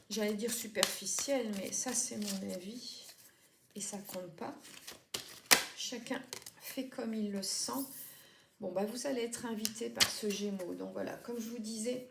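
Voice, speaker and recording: A woman's voice: medium pitch, fairly even intonation, unhurried, pauses often. A woman giving a casual tarot reading, chatting and rambling with many fillers and self-corrections. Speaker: tarot reader. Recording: echoey room with clear background noise.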